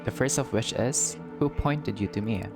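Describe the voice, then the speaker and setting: A man's voice: mid-range voice, moderate pace, lightly acted. A man voicing a menacing, smug gangster boss from a visual novel, with domineering, mock-polite threats. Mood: commanding, mocking. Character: menacing, bigoted male crime boss, smug and violent. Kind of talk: visual novel villain dialogue read aloud. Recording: loud music under the voice.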